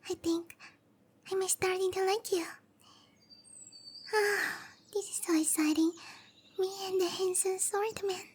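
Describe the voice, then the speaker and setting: Casting: petite young cowgirl mage for fantasy roleplay ASMR; very high-pitched, unhurried, hesitant, bashful, eager to prove herself. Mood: smitten, bashful. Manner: bashful, hesitant, breathy murmurs between bright chatter. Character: tiny young cowgirl monster girl, shy eager support mage. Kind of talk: roleplay ASMR, anime-style character acting.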